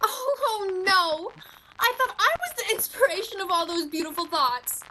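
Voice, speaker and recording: A woman acting in a radio play as a romantic, earnest young shop clerk, expressive and theatrical. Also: very high voice, normal speed, a little hiss or hum, heavily acted, poor audio. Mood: shocked, outraged. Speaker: amateur actor.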